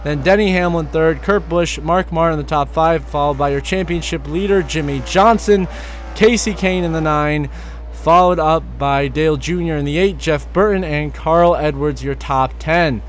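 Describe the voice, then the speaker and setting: A hoarse young man doing play-by-play race commentary as a voiceover. His calls rise with excitement at crashes and passes. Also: on the high side, normal speed, a little hiss or hum. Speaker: sim racing commentator.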